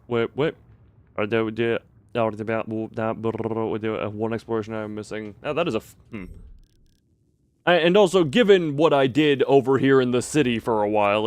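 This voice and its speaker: A man's voice: medium pitch, dry recording. A man giving casual live gameplay commentary as a streamer, musing and hesitating as he thinks aloud. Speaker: game streamer.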